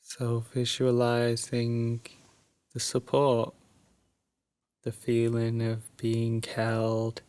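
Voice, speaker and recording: A man guiding a sleep meditation in a soft, drowsy, soothing voice with long pauses, at times breathy and close to a whisper. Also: medium pitch, monotone, no echo. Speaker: meditation guide. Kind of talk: guided sleep meditation, Yoga Nidra.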